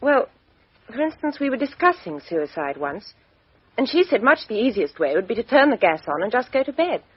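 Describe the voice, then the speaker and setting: Radio drama young woman: British, frank, self-possessed, husky, very animated intonation. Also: fairly high pitch, brisk. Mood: troubled, insistent. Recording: dull, lo-fi radio drama recording.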